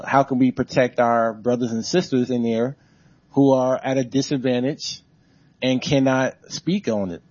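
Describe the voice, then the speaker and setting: A male labor organizer answers a question from the audience, building his points like a preacher. The room sound is dry and close, and the recording is muffled and short on top end. His pitch sits in an ordinary middle range. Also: a little mellow, no echo. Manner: emphatic, rallying, preacher-like cadence. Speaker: author and labor organizer, guest speaker.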